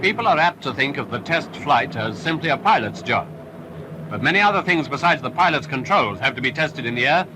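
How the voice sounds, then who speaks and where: A man's voice: medium pitch, lively intonation, brisk. A man narrating a wartime film in an emphatic, patriotic style, on a noisy restored 1940s film soundtrack. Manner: upbeat, emphatic, patriotic delivery.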